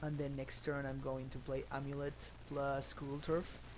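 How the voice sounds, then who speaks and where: A man's voice: medium pitch, completely flat delivery, measured pace, noisy background. A man in his thirties from Argentina, streaming and commenting casually on his card game as he plays, thinking aloud with many fillers, on a headset in a small room.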